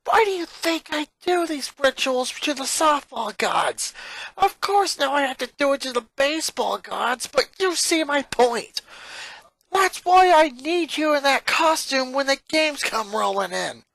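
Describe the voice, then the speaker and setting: A story reader voicing a cocky, hot-tempered sporty tomboy girl with a brash, forceful manner. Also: high voice, animated, measured pace, creaky, no room sound, pitched above the performer's own voice, heavily acted, low-quality recording. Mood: threatening.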